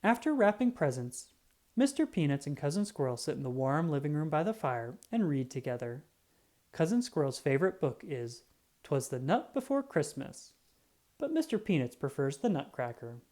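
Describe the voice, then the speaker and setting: Low, mellow, animated female storyteller. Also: a little fast. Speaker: children's book reader. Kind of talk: scripted children's storybook read-aloud.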